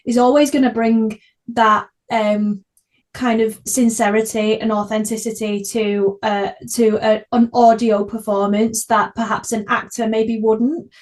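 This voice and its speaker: A woman's voice: fairly high pitch, darkish tone. A woman hosting a casual podcast interview, speaking in an informal, enthusiastic way. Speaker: podcast host.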